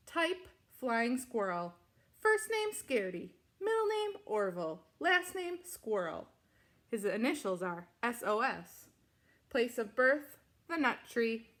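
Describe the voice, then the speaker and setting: A woman reading a children's picture book aloud in an expressive, deliberate way with dramatic emphasis. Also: a little high. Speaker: storybook reader.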